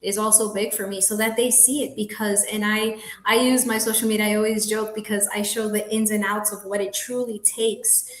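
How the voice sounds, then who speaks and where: A woman from Colombia, Spanish as her first language, speaking earnestly at a middle pitch, laughing now and then and restarting lines as she goes. Her voice rings in a roomy, echoey space. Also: little pitch movement, a little fast, hardly any pauses. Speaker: guest, realtor. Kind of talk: casual interview talk. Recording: echoey room.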